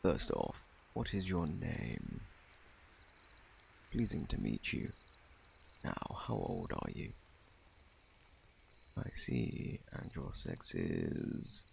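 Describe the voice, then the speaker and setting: A man with a British accent playing a polite, evasive, faintly sinister plague doctor in an ASMR roleplay, speaking softly in a formal, fussy, self-assured way with a gravelly, partly whispered voice. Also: rumbling low, wildly swooping pitch, drawn-out words, long pauses, a little hiss or hum, heavily acted. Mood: clinical, hushed. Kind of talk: ASMR roleplay, soft close speech.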